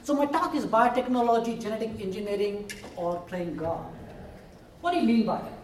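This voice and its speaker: A man's voice: high voice, brisk, slight background noise. A man with an Indian accent, a biology professor from India, giving a chatty, self-deprecating university lecture. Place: lecture room. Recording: roomy hall, heard from far.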